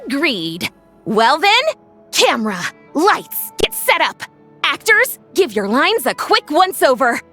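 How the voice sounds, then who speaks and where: A woman voicing a brisk, commanding young film crew chief who gives crisp orders in a video game. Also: high-pitched, big pitch swings, bright, forceful tone, faint music behind, full character voice. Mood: businesslike, eager.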